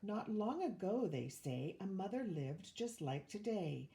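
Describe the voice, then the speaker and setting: An older woman reading a rhyming children's picture book aloud with measured, expressive storytelling. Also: mid-range voice. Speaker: volunteer storybook reader, retired teacher.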